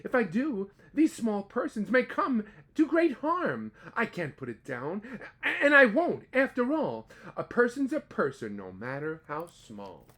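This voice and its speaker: A woman's voice: medium pitch, very animated, measured pace, a bit bright, slightly rough, pitched above the performer's own voice, heavily acted. A woman voicing a big, kind male elephant who speaks earnestly and protectively in a storybook reading.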